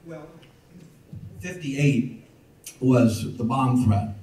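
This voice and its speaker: Dark-voiced man moderating in an echoey hall. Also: medium pitch, very slow. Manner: brief, encouraging replies. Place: large banquet hall. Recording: echoey hall, distant mic.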